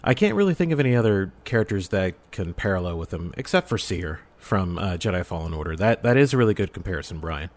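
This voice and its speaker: A man with a lowish voice chats casually on a podcast. He speaks in a halting way, working out his thoughts as he goes. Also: big pitch swings. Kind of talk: casual discussion.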